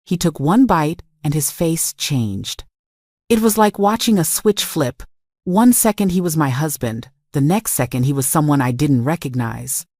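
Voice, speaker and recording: A woman's voice: low-pitched, expressive, unhurried, darkish tone, dry recording, studio quality. A woman reading a scripted first-person dramatic story in a confessional, emphatic way. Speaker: story narrator.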